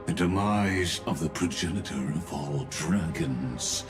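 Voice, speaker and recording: A male voice actor playing a cold, courtly genius villain in a video game, speaking formally with measured, ominous courtesy. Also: very deep voice, over music. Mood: smooth, lofty. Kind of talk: scripted video game dialogue.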